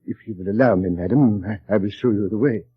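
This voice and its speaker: Polite old butler, raspy and formal, dry dull old-time radio sound. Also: male voice, lowish voice, fast, no room sound, faint music behind. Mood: deferential, polite. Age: older. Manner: formal, courteous, servile. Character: deferential old male butler with a kindly manner. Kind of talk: 1930s radio drama acting. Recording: dull vintage radio broadcast.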